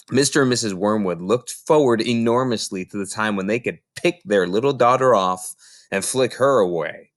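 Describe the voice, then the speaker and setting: Man, children's book narrator, animated intonation, emphatic phrasing, theatrical read-aloud, dry sound. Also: mid-range voice, dry recording.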